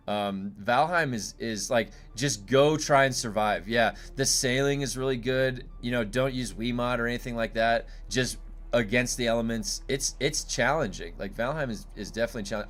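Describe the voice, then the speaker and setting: A man with a loud, booming voice, chatting loosely and profanely on a game live stream from home, with lots of fillers. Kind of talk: casual live-stream chat. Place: home room.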